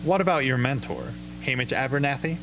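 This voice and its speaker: Guy doing a slick probing tv interviewer in a radio play, medium pitch, measured, music clearly underneath. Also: lightly acted, poor audio. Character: smooth, probing male television interviewer, sympathetic showman. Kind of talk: amateur radio drama acting, talk show host.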